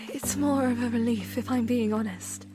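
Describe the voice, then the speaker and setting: A woman playing a gentle, sincere young princess in an audio drama. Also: fairly high pitch, normal speed, over music, lightly acted. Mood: wistful.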